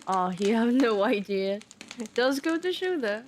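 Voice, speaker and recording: A woman's voice: a little high, lively intonation, unhurried, a little mellow, a little hiss or hum. An actress playing a wry, plain-spoken young Victorian working-class woman in a historical audio drama.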